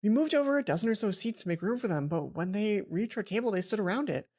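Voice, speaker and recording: A woman reading a visual novel aloud as a let's player, with expressive, dramatic storytelling. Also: medium pitch, fast talker.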